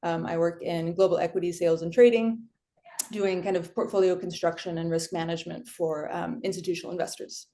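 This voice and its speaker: A woman's voice: lowish voice, fairly even intonation, brisk, dark tone. A woman from California introducing herself on a panel in a friendly, chatty way with many fillers, on a video call. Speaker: panelist, finance professional. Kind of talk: casual self-introduction.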